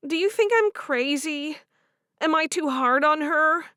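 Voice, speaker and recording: A fretful young woman on the edge of tears, as a woman reads her, her voice pitched up high and lifted louder than the narration. She pleads and complains softly through a storybook scene, recorded close and dry. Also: lively intonation, a little slow, dry recording, heavily acted. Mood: upset. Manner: soft, pleading, tearful.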